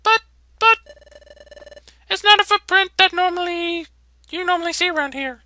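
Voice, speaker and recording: A man's voice: very high-pitched, normal speed, pushed and bright, pitched above the performer's own voice, heavily acted. A man voicing a nervous, stammering little mole creature on sentry duty in a video game. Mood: flustered. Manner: stammering, flustered. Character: nervous small Diglett sentry creature, flustered and squeaky.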